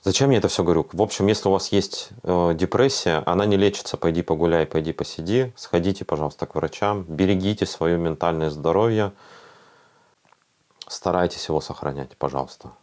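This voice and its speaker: A young man from Siberia speaking quietly and candidly in a documentary interview, his voice tired and often trailing off. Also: low voice, brisk. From Siberia, Russia. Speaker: volunteer interviewee.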